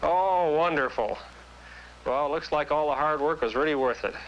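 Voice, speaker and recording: Guy calling on a radio test, slightly high voice, old film sound w/ some hum. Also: moderate pace, poor audio. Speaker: communications engineer making a test call. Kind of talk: radio-telephone test call.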